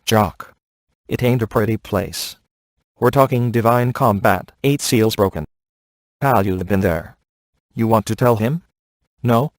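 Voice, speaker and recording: An actor playing a sardonic, laid-back male ghost hunter in a video game, delivering wry scripted quips in a casual deadpan manner. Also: fairly low pitch, dry recording, lightly acted. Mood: sarcastic. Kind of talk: scripted game dialogue, dry wisecracks.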